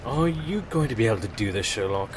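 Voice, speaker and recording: An actor playing a plain-spoken pony police inspector in an audio drama, reporting in a blunt, matter-of-fact way. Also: male voice, fairly low pitch, animated, fast talker, close to an everyday voice. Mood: brisk, concerned. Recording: loud rain-like noise behind voice.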